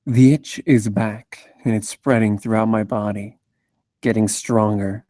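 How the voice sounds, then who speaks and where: A man playing a brooding, guilt-ridden noir narrator in a script read, slow and grave. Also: lowish voice, steady tone, warm tone, no echo, clean studio sound, natural voice.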